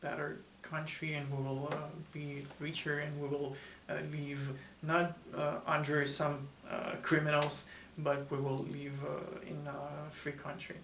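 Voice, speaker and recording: A man in his twenties, born in Russia and raised in Ukraine, speaking English as a second language, answering interview questions haltingly with many filler sounds in a hotel room. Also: fairly high pitch, monotone. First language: not English. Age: 20s. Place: hotel room, seated, indoors. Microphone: camera mic from across the room. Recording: roomy, distant mic, faint room hum.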